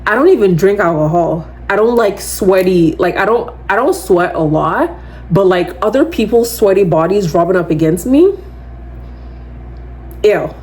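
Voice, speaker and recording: A woman in her twenties with a loud voice, hosting a podcast in casual, rambling solo chat, full of "like" and animated asides. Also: mid-range voice, moderate pace, rough recording. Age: 20s. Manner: chatty, rambling, heavy use of "like"; animated, slips into quoting others. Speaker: podcast host.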